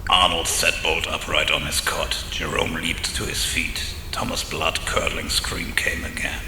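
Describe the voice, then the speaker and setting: A man playing a ghoulish horror host who narrates grisly tales in a gravelly, breathy rasp with theatrical menace. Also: a bass voice, monotone, measured pace, background noise. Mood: ominous. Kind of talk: horror comic audio drama narration. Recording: reverberant echo with loud music underneath. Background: loud music.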